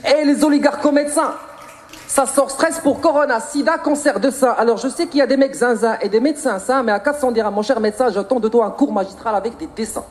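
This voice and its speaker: A man with a Moroccan accent performing spoken-word slam poetry in a theatrical, rhythmic, punchy delivery with drawn-out line endings. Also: very high-pitched, darkish tone. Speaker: slam poet.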